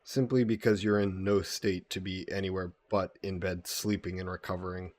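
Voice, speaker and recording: A man playing a gentle, guilt-burdened vampire caretaker in an intimate audio roleplay, speaking politely and formally with some hesitation. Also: low voice, level tone, moderate pace. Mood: gentle, reassuring. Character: gentle, guilt-burdened male vampire employer caring for a guest.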